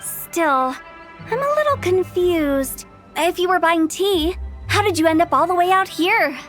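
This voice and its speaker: Young female game director: sprightly, courteous, a touch theatrical, very high voice, soft music underneath. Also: expressive, unhurried, exaggerated voice. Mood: friendly, curious. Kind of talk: video game voice acting.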